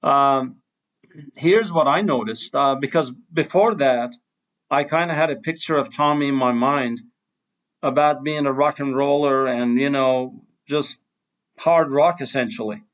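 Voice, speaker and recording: A man's voice: mid-range voice, a little slow, a bit bright. Iranian-accented man, enthusiastic host. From Iran. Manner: enthusiastic, admiring, chuckles often. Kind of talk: casual interview chat. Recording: dull lo-fi sound.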